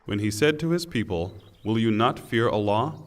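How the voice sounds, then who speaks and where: Low-voiced man solemnly reading scripture, reverberant. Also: animated. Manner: formal, deliberate, with pauses. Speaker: translation reader. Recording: long hall reverb.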